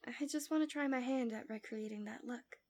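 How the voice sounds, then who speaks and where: Woman acting as fantasy villain captor, female, slightly high voice, soft, breathy, half-whispered, coaxing, doting, close-miked ASMR roleplay. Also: brisk, lightly acted. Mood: coaxing, softly probing. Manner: soothing, doting, teasing.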